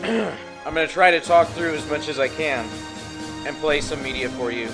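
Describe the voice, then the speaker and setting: A man's voice: fairly high pitch, moderate pace, music in the background. Man hosting a rambling talk show with a tired voice. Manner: rambling, many fillers and restarts. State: partly lost voice. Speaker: podcast host. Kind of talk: live political talk show monologue.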